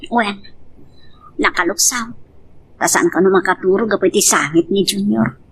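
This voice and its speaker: A woman's voice: medium pitch, normal speed, gravelly, husky. A woman playing an aggrieved, pleading young wife and mother in an audio drama, plaintive and questioning. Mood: dejected. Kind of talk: Ilocano radio-style drama acting.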